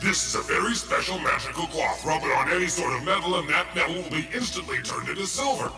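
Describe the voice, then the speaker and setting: Echoing magical spirit. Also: male voice, on the high side, expressive, fast talker. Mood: grand, instructive. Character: mysterious magical spirit from a bottle. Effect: echo. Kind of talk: animated fairy tale dubbing. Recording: distant, echoing reverb over music.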